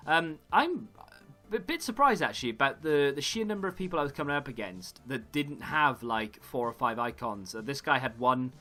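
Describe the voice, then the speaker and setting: Gaming host, man in his thirties, bright tone, slightly hoarse, rambling and self-correcting, faint music behind. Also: mid-range voice, animated, normal speed. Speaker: gaming YouTuber. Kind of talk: casual gaming commentary.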